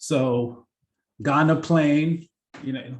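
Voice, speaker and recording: A man's voice: fairly high pitch, slow. Chatty man giving a casual career talk. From born in Kenya, grew up in Seattle. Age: 30s. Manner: relaxed and chatty, many fillers and restarts. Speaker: workshop presenter.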